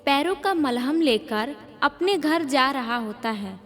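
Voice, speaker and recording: Female story narrator: high-pitched, slow scripted delivery, reverberant sound. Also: animated, a bit bright, faint music behind.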